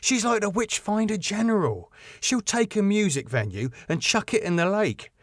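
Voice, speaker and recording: A man's voice: high voice, very animated, moderate pace, no echo, clean studio sound, pitched above the performer's own voice. A man voicing a sardonic, ominous and exasperated club manager in a sitcom.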